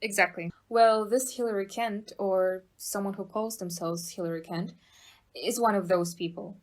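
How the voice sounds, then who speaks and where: Audio play inspector played by a woman: medium pitch, excitable, bossy, given to blustering exclamations. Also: normal speed, close to an everyday voice. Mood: explaining.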